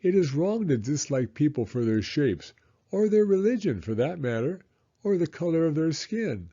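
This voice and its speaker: A man voicing a kindly, principled storybook mother in a gentle, earnest way. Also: fairly high pitch, very animated, moderate pace, husky, dull audio, pitched above the performer's own voice.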